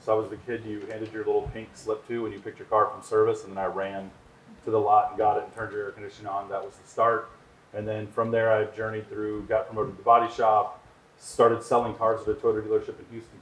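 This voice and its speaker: A middle-aged man with a lowish voice speaks on a panel in a relaxed, self-deprecating way. The sound is echoey, with faint noise behind him. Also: fairly quick. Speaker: panelist, chief operating officer. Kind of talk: panel talk. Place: event venue.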